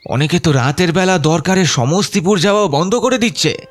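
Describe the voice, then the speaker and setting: A man's voice: high voice, animated, a little fast. An actor playing a greedy, resentful village man in an audio horror story, complaining and wheedling in a folksy way. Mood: alarmed gossip. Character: grumbling, greedy, resentful village man, fawning on his elder.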